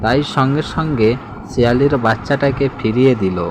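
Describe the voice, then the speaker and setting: A man's voice: mid-range voice, normal speed. A man narrating a scripted fable as a storyteller, with background noise behind him. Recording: clear background noise, outdoor ambience.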